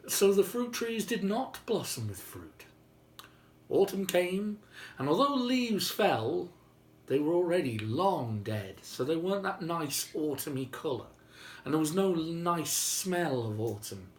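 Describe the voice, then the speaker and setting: A man telling a story informally and whimsically, with dramatic pauses and emphasis. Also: on the high side, very animated. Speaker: storyteller and video host. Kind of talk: informal improvised oral storytelling. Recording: roomy, faint background noise.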